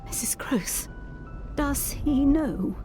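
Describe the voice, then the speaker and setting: A woman's voice: high-pitched, lively intonation, very slow, mellow, soft background music, heavily acted. A woman playing an earnest, anxious young Victorian governess in a gothic audio drama, intense and questioning in formal period diction. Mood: agitated. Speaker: actor in an audio play.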